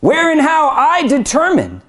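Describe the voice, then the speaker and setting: A man delivering an imam's sermon to a packed hall. His voice sits high and swings widely, swelling through lists and questions before dropping soft and low to land each thought. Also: wildly swooping pitch, measured pace. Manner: swells in pitch and volume on lists and rhetorical questions, then drops low. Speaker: imam. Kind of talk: Friday sermon. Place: crowded prayer hall.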